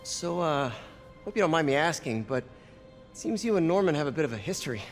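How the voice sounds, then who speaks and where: A man's voice: a little high, lively intonation, fairly quick, faint music behind, close to an everyday voice. An actor playing an earnest, slightly awkward young man, hesitant and sincere, in a naturalistic video game drama. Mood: awkward, caring. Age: young adult. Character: earnest, awkward young lab assistant and nephew.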